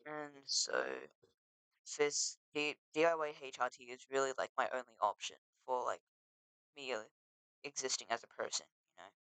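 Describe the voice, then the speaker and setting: Earnest teen, bright crisp voice. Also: medium pitch, no echo, poor audio. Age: teens. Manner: halting, full of "like" and restarts; earnest and emotional. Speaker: vlogger. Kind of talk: casual confessional monologue.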